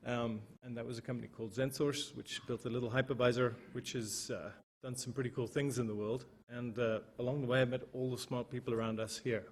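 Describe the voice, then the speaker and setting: A man introducing himself on a panel in a relaxed, anecdotal way with frequent ums. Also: medium pitch, brisk, few pauses. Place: auditorium.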